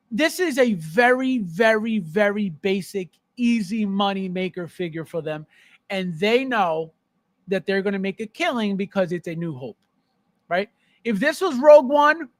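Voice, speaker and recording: A man's voice: high-pitched, dry recording. A man hosting a casual live stream about collectibles, conversational, repeating phrases for emphasis and checking in with the listener.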